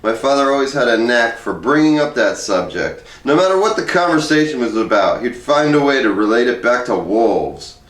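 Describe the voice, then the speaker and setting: A man's voice: medium pitch, expressive, moderate pace, hardly any pauses, a bit bright. A man talking casually and reading a humorous essay aloud in an informal, conversational way. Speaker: host reading aloud from a book. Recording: roomy echo, distant from the mic.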